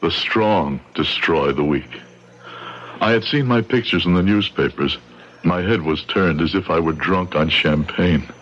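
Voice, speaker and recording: An actor playing a grieving immigrant father set on revenge in an old radio drama, speaking in solemn, deliberate, formal phrases. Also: low voice, a bit bright. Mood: bitter, resolved. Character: grieving immigrant father, shoe repairman, bitter and bent on revenge. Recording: dull, noisy old radio broadcast.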